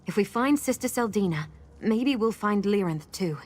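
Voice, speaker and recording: A woman playing a guarded, determined guide in a fantasy video game, speaking in a measured, resolute manner. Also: mid-range voice, lively intonation, darkish tone, lightly acted.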